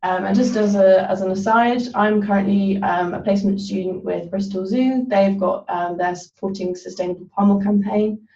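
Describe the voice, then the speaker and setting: A woman's voice: medium pitch, steady tone, normal speed, mellow. A young woman, a student, giving a webinar talk with frequent hesitations and ums. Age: young adult. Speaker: student presenter. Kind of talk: webinar presentation. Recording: distant, echoey, muffled webinar audio.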